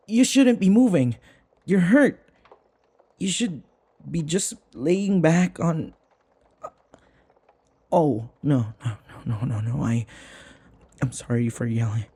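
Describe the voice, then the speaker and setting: A man playing a flustered, submissive servant in an intimate audio roleplay, hesitant and apologetic, stammering with a breathy voice. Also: fairly high pitch, animated, slow pace, long pauses, warm tone, full character voice. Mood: anxious. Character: flustered, submissive young male servant devoted to his vampire mistress.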